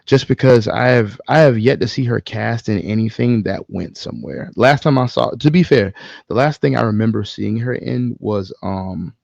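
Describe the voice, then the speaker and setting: A low-voiced man talks frankly and informally, swearing now and then as a podcast co-host. His voice has a heavy fry, and the recording is dry and slightly dull. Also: moderate pace, darkish tone, no echo. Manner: frank, profanity-laced, informal slang. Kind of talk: casual movie discussion chat.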